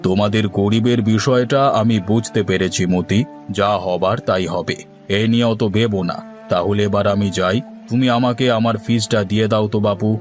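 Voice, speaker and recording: Man acting a deep-voiced, soothing cartoon village elder figure. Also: fairly even intonation, normal speed, lightly acted. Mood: advising, reassuring. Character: placating village husband and kindly advising doctor. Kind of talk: Bengali fairy-tale cartoon voice acting. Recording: music under the voice.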